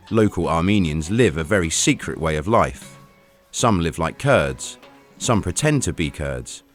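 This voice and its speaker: A man narrating a travel documentary in an earnest, measured storytelling manner, recorded as a voice-over. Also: low-pitched. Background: faint background music.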